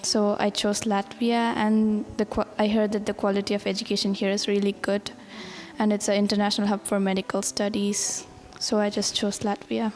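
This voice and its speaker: A woman's voice: mid-range voice, monotone, normal speed, darkish tone. A woman from India, a medical student answering interview questions in a plain, matter-of-fact way.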